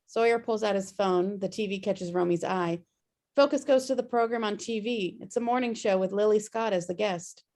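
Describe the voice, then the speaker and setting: A woman's voice: mid-range voice, normal speed, a little mellow. A woman reading scripted stage directions as narrator of a table read over a video call.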